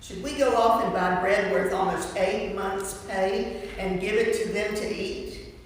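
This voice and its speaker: A woman's voice: mid-range voice, steady tone, measured pace, close to an everyday voice. A woman reading the parts of biblical characters in an amateur staged scripture reading. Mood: concerned. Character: biblical characters, a king and disciples. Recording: noisy, echoey church hall from far off.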